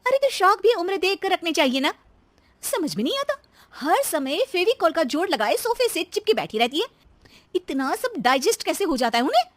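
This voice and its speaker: A woman's voice: very high-pitched, very animated, moderate pace, no echo, voice pushed up. A woman voicing a harried, emphatic young daughter-in-law in a cartoon story. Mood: frustrated. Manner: complaining, emphatic.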